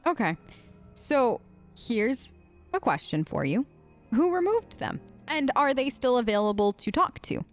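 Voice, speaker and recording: A woman's voice: fairly high pitch, sing-song, unhurried, soft background music. A woman hosting a let's play, chatting casually and playfully while role-playing her character.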